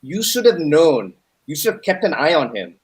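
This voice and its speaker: A man's voice: a little high, sing-song, normal speed, breathy edge, heavily acted. A man playing a stern, reproachful father in a dramatic reading of a family story. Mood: stern, accusing. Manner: blaming, reproachful.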